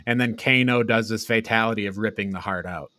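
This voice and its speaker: A man's voice: lowish voice, fairly quick, sharp tone, no room sound. A man co-hosting a podcast, retelling a film in casual, rambling, sweary chat with frequent chuckles. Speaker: podcast co-host.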